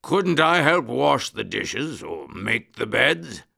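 A big, gentle circus lion from a children's storybook. He speaks very slowly in a gravelly rumble, loud but courtly and apologetic. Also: sing-song, no room sound, studio quality, exaggerated voice. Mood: eager to help.

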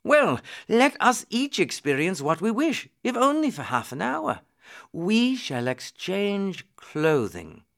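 A man's voice: medium pitch, very animated, a little slow, gravelly, a bit bright, heavily acted. A man voicing a proud, curious and imperious boy prince in a storybook. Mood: excited. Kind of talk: storybook character reading.

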